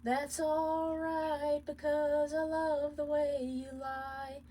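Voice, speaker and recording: Storybook role: heartbroken imp sung by a woman, high, mournful, very slow drawn-out singing. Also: little pitch movement, dark tone, faint music behind.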